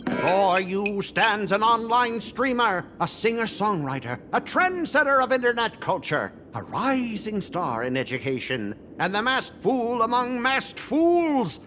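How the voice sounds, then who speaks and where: A man voicing an indignant, hot-headed high school boy in a video game, bombastic and melodramatic. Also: very animated, unhurried, background noise. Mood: indignant, pompous. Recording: music behind the voice. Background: game music.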